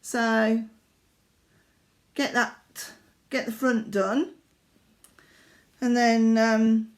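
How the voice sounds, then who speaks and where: A woman's voice: fairly high pitch, little pitch movement, drawn-out words. An older woman from Yorkshire chatting casually at home about her needlework, rambling, with lots of fillers and self-corrections. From Yorkshire, England. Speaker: craft vlogger. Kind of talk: casual chat showing needlework.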